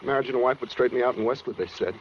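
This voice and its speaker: An American man playing a brooding, coldly calm escaped killer in a 1940s radio suspense drama, speaking matter-of-factly with quiet menace. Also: mid-range voice, very fast, natural voice. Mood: bitter reminiscing. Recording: dull 1940s radio recording with noise.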